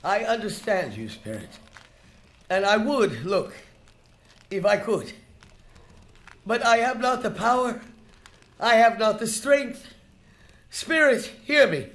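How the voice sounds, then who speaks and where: A man's voice: sits high, lively intonation, takes it slowly, long pauses, fairly bright tone, full character voice. An actor playing a frightened, repentant old miser in a radio drama, solemn and beseeching as he pleads. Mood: pleading dread.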